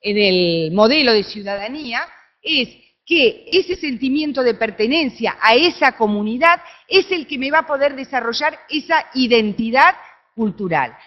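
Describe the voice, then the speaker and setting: A woman with an Argentine accent giving an academic talk at a conference, often checking in with her audience through quick tag questions. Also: on the high side, muffled recording. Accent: Argentine Spanish. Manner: animated, with frequent tag questions. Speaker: conference speaker. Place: conference room.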